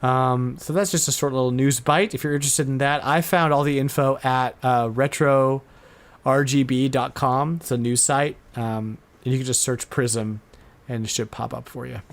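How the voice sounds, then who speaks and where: A man hosting a podcast, chatting casually and informally, often halting and repeating words as he goes. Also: mid-range voice, fairly even intonation. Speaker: podcast host. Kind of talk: casual chat about retro game news.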